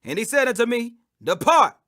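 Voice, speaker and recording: A man's voice: extremely high pitch, sing-song, normal speed, bright, forceful tone. A man reading scripture aloud in a dramatic, declamatory preacher-like style, stressing and drawing out phrases. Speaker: scripture reader.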